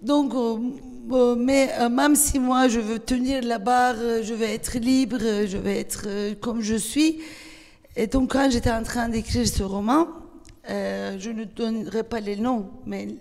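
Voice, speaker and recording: A woman with a Turkish accent, a native Turkish speaker, speaking at a festival panel, hesitant, with many fillers and restarts, animated when she tells a story. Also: a little high, unhurried. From Turkey. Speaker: panel guest, writer. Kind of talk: panel discussion. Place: festival hall. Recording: long hall reverb.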